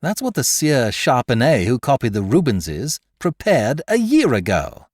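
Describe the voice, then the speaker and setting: A man voicing an excitable young male amateur detective, brash and mocking as he shows off his discovery. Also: mid-range voice, measured pace, no echo, studio quality. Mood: exultant.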